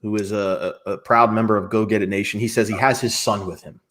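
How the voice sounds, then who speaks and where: A man's voice: fairly low pitch, fairly even intonation, fast, a bit bright. Easygoing male talk-show host. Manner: friendly, easygoing reactions. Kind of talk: casual interview chat.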